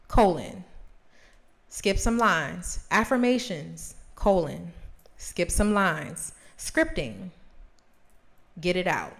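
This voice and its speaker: A thirty-year-old woman hosts in a casual, conversational style, speaking straight to her viewers. Her voice sits at an average pitch for a woman. She is encouraging and puts emphasis on her points. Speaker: host of a journaling video series. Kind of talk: casual talk to camera.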